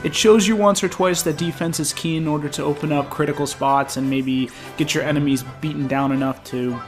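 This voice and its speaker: A man's voice: on the high side, fairly even intonation, fairly quick, soft background music. A man with a stuffy, nasal voice from an infection talks casually through a gameplay preview as a game editor. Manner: casual, chatty, spontaneous.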